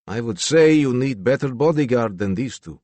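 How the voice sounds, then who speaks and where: Guy reading as a calm dangerous yugoslav tough, thick slavic accent, broken english, pitch moves around a lot, super dry audiobook sound. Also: normal speed, dry recording, close to an everyday voice. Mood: calm menace. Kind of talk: audiobook character dialogue.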